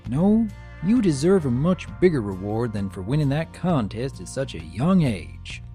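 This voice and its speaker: A man puts on a gentle cartoon father pony from the farm. He sounds proud and fond of his child, with a very lively pitch and music underneath. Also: normal speed, close to an everyday voice. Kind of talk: fan comic dub voice acting.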